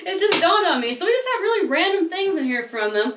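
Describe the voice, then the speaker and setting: A young woman co-hosting a casual chat, self-mocking and quick to laugh. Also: very high-pitched, sing-song, roomy sound, background noise. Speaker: co-host.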